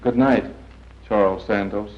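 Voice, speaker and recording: A man's voice: on the low side, fairly even intonation, unhurried, lightly acted. Actor as a 1940s spy-film man, muffled sound. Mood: firm, insistent. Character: man in a wartime spy training drama. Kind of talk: 1940s training film acting.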